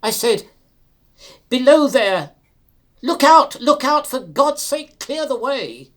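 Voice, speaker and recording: A man's voice: very high-pitched, lively intonation, takes it slowly, a bit bright, pitched above the performer's own voice, heavily acted. A man voicing a distraught engine driver in an audiobook, agitated and pleading yet respectful as he recounts a fatal accident. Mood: anguished.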